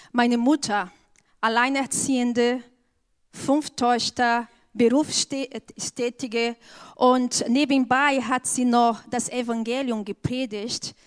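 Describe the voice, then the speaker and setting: A woman's voice: fairly high pitch, smooth. A woman from Brazil with a Brazilian accent, not speaking her first language, giving an earnest personal testimony in church. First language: Portuguese. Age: adult with an eleven-year-old daughter. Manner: slow, earnest, careful phrasing.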